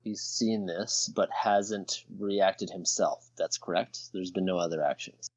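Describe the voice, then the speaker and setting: A man playing a tabletop game, talking casually and thinking aloud in a deliberate way. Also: low voice, steady tone, no room sound, low-quality recording.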